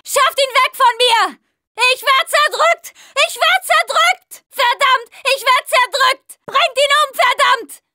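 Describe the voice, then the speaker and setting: A tough young woman fighting through a zombie video game. Her voice is high and swings widely in pitch. She speaks in short, slangy, unhurried bursts, expressive and casual. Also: no echo, clean studio sound, heavily acted. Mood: panicked, screaming. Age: young adult.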